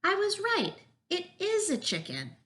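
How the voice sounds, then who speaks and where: A woman's voice: fairly high pitch, very animated, moderate pace, gravelly, fairly bright tone, pitched above the performer's own voice, exaggerated voice. A woman voicing a know-it-all female frog in a children's storybook, speaking with assertive, matter-of-fact certainty. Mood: vindicated.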